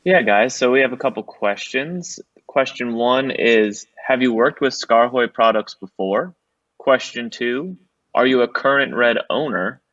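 A man co-hosting a webinar over a video call, reading out poll questions in a relaxed, plain way. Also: medium pitch, measured pace, no echo. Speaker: webinar co-host. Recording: dull distant video call audio.

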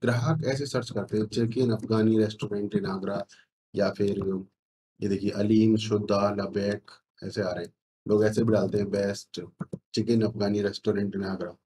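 A middle-aged man giving a self-assured screen-share sales pitch as a consultant, repeating phrases for emphasis. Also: fairly even intonation, unhurried, darkish tone, poor audio. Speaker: SEO consultant. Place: indoor room, screen recording with webcam.

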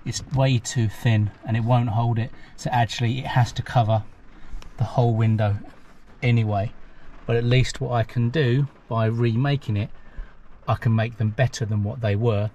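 A man's voice: medium pitch, darkish tone, a little hiss or hum. A man, talking casually through a how-to job inside a parked car, chatty and self-deprecating as he thinks aloud. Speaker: vlogger. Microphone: action camera mounted in the car.

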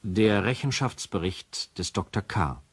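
An actor playing a sober narrator who formally presents a case file in a radio drama. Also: male voice, on the low side, measured pace, slight background noise. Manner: factual, formal.

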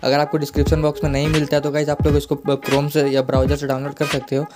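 Man, Indian accent, casual tutorial host, even monotone pitch, rapid, repetitive. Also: over music. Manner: repetitive, addresses viewers as guys. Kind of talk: casual screen-recorded tutorial.

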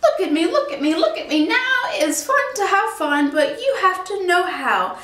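A woman's voice: very high voice, very animated, moderate pace, fairly bright tone, voice pushed up, full character voice. A woman voicing a playful, boastful male cat with sing-song rhyming delivery. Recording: roomy echo.

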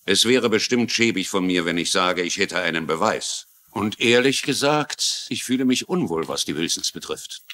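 An actor playing an older retired police lieutenant in a German-dubbed TV crime drama, confiding and wry, with a troubled conscience. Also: male voice, low-pitched, animated, normal speed, few pauses, sharp tone. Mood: uneasy, confiding.

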